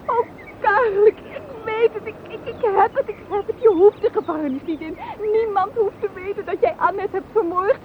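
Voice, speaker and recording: A woman's voice: extremely high pitch, normal speed. A woman acting a shocked, panicking and scheming sister in a radio play, breathless, stammering and agitated. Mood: urgent. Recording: old tinny radio recording with hiss.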